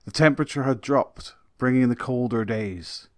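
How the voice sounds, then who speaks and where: Male narrator for scripted audio drama, dry close sound, medium pitch. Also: moderate pace, a little mellow, dry recording.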